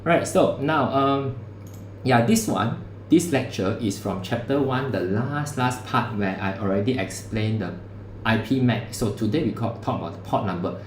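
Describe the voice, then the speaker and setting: A man's voice: medium pitch, normal speed. A male lecturer, teaching a class over a livestream in a casual, chatty way. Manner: casual, conversational teaching with local particles. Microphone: streaming setup mic. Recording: echoey room, faint background hum.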